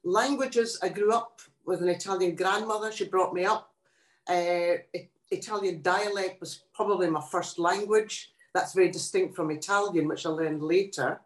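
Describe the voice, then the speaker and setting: An older woman, a poet, talking about her life in a relaxed interview, thoughtful and pausing often. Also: lowish voice. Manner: reflective, thoughtful, with many pauses and restarts. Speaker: guest poet. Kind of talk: casual interview chat. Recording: echoey room.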